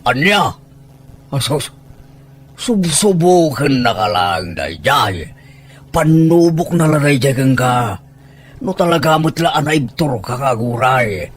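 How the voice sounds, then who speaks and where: A man's voice: mid-range voice, big pitch swings, takes it slowly, slight background noise. A man with a Filipino accent playing a sly, lecherous older village man in an audio drama, coaxing and exclaiming as he goes. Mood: egging on. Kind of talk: Ilocano audio drama acting. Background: background music.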